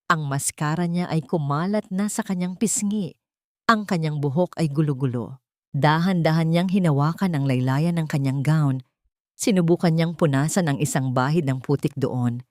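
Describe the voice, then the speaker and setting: A woman with a low-pitched voice narrates a scripted audio drama in a dramatic storytelling style. The recording is close and very dry, with no sense of a room around the voice. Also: a little mellow, no echo.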